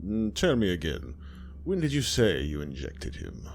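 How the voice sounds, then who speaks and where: A man voicing an aging, haughty gentleman who asks fussy, formal questions in a condescending way. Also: low voice, wildly swooping pitch, measured pace, vocal fry, exaggerated voice. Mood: prim.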